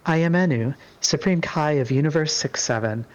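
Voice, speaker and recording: An earnest, courteous young goddess performed by a man speaks with raised pitch and quiet steel. Her delivery is formal and polite, from a roleplay drama, on a slightly muffled lo-fi mic. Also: moderate pace, lightly acted.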